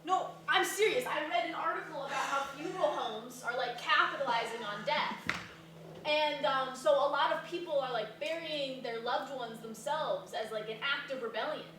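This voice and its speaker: A woman acts out a stubborn, frantic granddaughter in a comic drama reading. Her voice is high and bright, and she rambles and hesitates. It is recorded in a heavily echoing room. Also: moderate pace. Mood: earnest scheming. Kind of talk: staged reading of a dramatic comedy. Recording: echoey room from far off, noisy.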